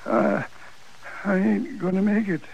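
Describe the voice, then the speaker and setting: An actor playing a dying old American gunfighter in a 1950s radio western, speaking weakly in halting, broken phrases, proud and desperate to be believed. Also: male voice, high-pitched, steady tone, gravelly, a little mellow, husky, lo-fi sound, exaggerated voice. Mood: weary, resigned. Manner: halting, broken, laboured speech. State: weak and dying. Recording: old radio recording with faint noise.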